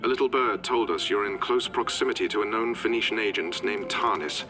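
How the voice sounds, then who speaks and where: A man voicing a matter-of-fact intelligence handler giving a brisk, official mission briefing in a video game. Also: on the low side, lightly acted. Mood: businesslike. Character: businesslike male intelligence handler giving orders. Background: music.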